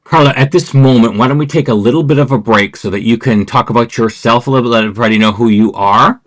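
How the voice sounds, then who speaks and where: A man's voice: medium pitch, quick pace. A man hosting a podcast conversation, speaking frankly and steering the talk. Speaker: podcast host. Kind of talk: casual conversational interview.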